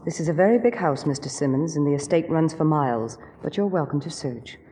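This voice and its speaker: A woman's voice: low-pitched, lively intonation, brisk, mellow. An actress playing a guarded, unhappy young lady of a wealthy estate in 1940s radio drama, composed and evasive. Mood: cool, guarded. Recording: faintly noisy 1940s radio recording.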